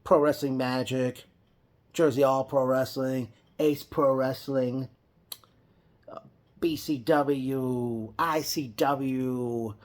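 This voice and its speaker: A man's voice: mid-range voice, steady tone, takes it slowly, darkish tone. A man giving a casual, rambling reaction vlog, often correcting himself mid-sentence. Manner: rambling, with frequent restarts and self-corrections. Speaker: wrestling fan vlogger.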